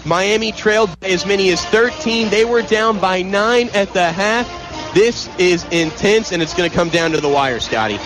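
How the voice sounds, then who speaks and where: A man's voice: high voice, over music. A man calling live basketball play-by-play on the radio in vivid, clipped phrases, from an indoor arena. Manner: vivid, clipped, rapid-fire calls. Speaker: play-by-play sportscaster. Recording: loud crowd noise behind, live arena broadcast. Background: loud crowd.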